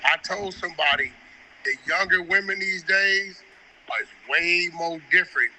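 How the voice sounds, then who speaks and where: Man, very bright voice, high pitch, blunt, streetwise, faint noise. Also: unhurried, low-quality recording. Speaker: guest. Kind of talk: casual chat over a call.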